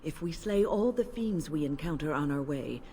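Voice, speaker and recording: Older female mage, stern, protective, formal measured speech, fantasy game voice. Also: low-pitched, animated, warm tone, slight background noise. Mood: grave, measured. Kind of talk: video game voice acting.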